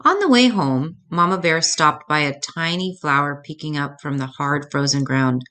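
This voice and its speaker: A woman's voice: deep voice, moderate pace, pushed and bright. An older woman storyteller reading a children's picture book aloud.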